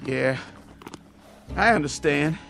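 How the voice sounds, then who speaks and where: An actor playing a frightened, bitter young Confederate private in a radio drama, whiny and pleading, with a Southern US accent. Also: male voice, fairly high pitch, animated, slow pace, vocal fry, fairly bright tone, soft background music, exaggerated voice. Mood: bitter, scared.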